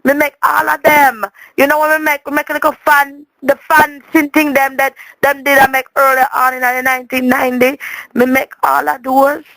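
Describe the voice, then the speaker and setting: A woman with a Jamaican accent speaking patois, telling a rambling comic story in a dramatic, expressive way and repeating words for emphasis. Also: high voice, moderate pace, no echo, low-quality recording.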